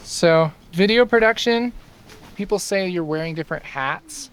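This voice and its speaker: A man's voice: high-pitched, expressive, normal speed, fairly bright tone, clear, resonant tone. A man in his thirties, a playful host chatting casually behind the scenes of a show. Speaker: host of an online tutorial puppet show. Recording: music playing behind.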